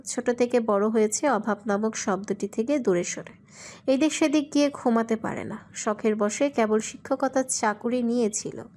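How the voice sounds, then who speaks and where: A woman's voice: fairly high pitch, darkish tone. A woman reading scripted narration for a romantic audio story.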